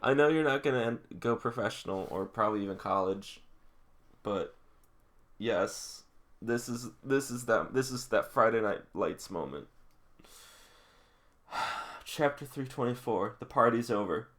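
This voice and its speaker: A man with a mid-pitched voice reads along and reacts as he goes. His delivery is casual and conversational, breaking into emotional fan exclamations between stretches of reading. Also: expressive, unhurried, long pauses, fairly bright tone. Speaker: reader reacting to a manga.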